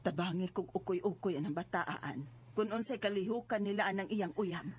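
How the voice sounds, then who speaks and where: A woman's voice: mid-range voice, normal speed, slightly rough. A woman playing a worried, stern middle-aged mother in a radio drama, scolding and exclamatory, with a Cebuano Filipino accent. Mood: concerned. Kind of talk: Bisaya radio drama acting.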